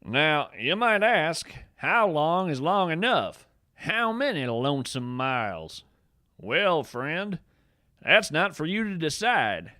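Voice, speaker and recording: A man's voice: mid-range voice, bright, forceful tone, dry recording, studio quality. A man playing a folksy, eccentric naturalist podcast host, delivering a whimsical scripted monologue in a theatrical, wry way. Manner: theatrical, folksy, wry, addresses listeners as friends. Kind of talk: scripted comedic monologue, radio-show storytelling.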